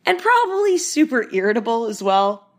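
A woman hosting a comedy podcast, slightly raspy and worn out, talking in a dry, mocking way. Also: fairly high pitch, very animated, moderate pace. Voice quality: getting raspier. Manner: dry, mocking, puts on silly voices for bits. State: exhausted. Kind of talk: sarcastic comic monologue.

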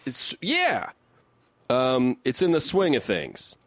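Halting male podcast co-host, dry close sound. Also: medium pitch, huge pitch swings, fairly bright tone, dry recording. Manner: halting, repeats words while riffing. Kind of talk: casual comedic music discussion.

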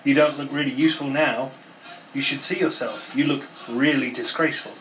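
Man playing a cheeky, teasing little engine, raised pitch. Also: fairly even intonation, fairly quick, fairly bright tone, a little hiss or hum, natural voice, poor audio. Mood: mocking. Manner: mocking and gleeful. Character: cheeky, teasing young male green engine.

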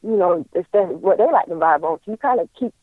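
A man in his thirties, a guest in a casual interview, talking in an easygoing, thoughtful way with many pauses and fillers. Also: high-pitched, animated, no room sound. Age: 30s. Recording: tinny phone line.

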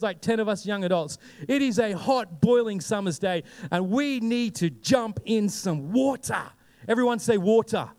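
A young man with an Australian accent, preaching a sermon and telling a lively story, often asking the congregation questions. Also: high voice, in a large hall. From Queensland, Australia. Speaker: pastor.

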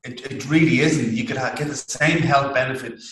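A man's voice: mid-range voice, fairly even intonation, normal speed, a bit bright, roomy sound, rough recording. A man with an Irish accent, a fitness and nutrition coach, explaining his work plainly as a podcast guest from his clinic. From Ireland. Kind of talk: casual interview talk.

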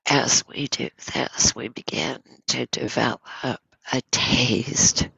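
A woman leads a guided meditation at an extremely slow, unhurried pace. Her voice is husky and creaky with a cold, and she speaks gently, leaving pauses between short phrases. The recording is close and slightly dull. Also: rumbling low, steady tone, dry recording. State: has a cold. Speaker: meditation teacher.

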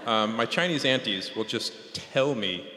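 A man's voice: mid-range voice, expressive, unhurried, sharp tone, a little hiss or hum. A man moderating an onstage conversation in a casual, informal and lightly joking way. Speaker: interviewer and moderator, fellow author. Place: large concert hall stage. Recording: echoey concert hall with long reverb.

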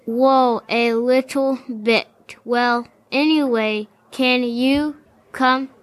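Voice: sits high, fairly even intonation, extremely slow. A young amateur performer playing an outspoken, friendly schoolchild in a school radio play. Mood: casual. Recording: noisy recording with crowd behind.

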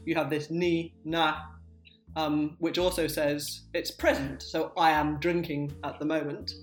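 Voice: medium pitch, level tone, measured pace, faint music behind. A speaker, explaining language topics as a guest linguist, patient and example-driven with frequent "um" and "so". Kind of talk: explanatory interview talk. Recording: roomy echo.

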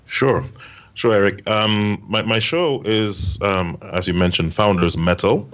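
A man's voice: lowish voice, measured pace, a bit bright. A man answering interview questions as a podcast guest, hesitant, with many ums and restarts.

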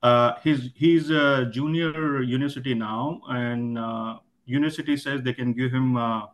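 Halting male caller with a mid-range voice. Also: medium pitch, fairly even intonation. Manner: halting, repeats himself. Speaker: caller, a parent. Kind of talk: phone call question. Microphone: conference call line.

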